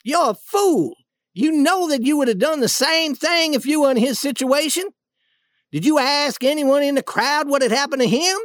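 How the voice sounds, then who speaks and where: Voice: high-pitched, big pitch swings, moderate pace, hardly any pauses, fairly bright tone, dry recording, clean studio sound, pitched above the performer's own voice, full character voice. A narrator voicing a scornful, hot-tempered con-man duke in a storybook tale, scolding and accusing with heavy emphasis.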